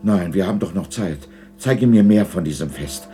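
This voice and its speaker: A man's voice: sits low, animated, moderate pace, dark tone. An older man acting a repentant, frightened old miser in a 1960s German radio drama, pleading and earnest. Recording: 1960s radio production with music.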